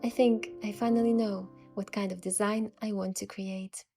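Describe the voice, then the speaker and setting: A woman's voice: mid-range voice, steady tone, normal speed, dark tone, natural voice. A young woman playing a sincere, sensitive heroine who narrates her story in a game, earnest and careful, with music behind her. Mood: wistful, resolute. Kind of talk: scripted first-person game narration.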